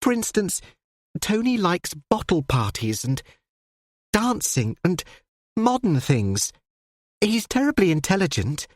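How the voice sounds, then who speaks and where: A breathless, impulsive young woman, as a reader puts her on, pushes every line with urgent emphasis. Her voice rides well above the narration and swings widely in pitch. The recording is close and dry. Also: big pitch swings, slow pace, pauses often, dry recording.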